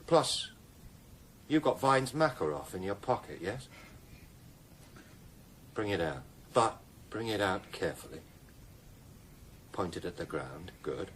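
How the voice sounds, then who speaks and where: A steady, tough British detective in a nineties radio drama. His very deep voice is measured and controlled, matter-of-fact and used to command. Faint rumble sits behind it. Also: exaggerated voice, poor audio. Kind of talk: 1990s radio drama acting.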